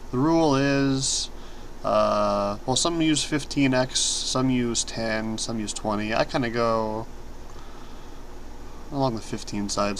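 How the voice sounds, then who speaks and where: A young man talks casually through his online poker hands at a desk mic, rambling and poking fun at himself. His voice sits at an ordinary middle pitch, and he clears his throat now and then. A faint hiss of background noise stays under the recording. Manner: relaxed, rambling, self-deprecating, clears throat often. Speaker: poker streamer. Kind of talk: casual commentary over online poker play.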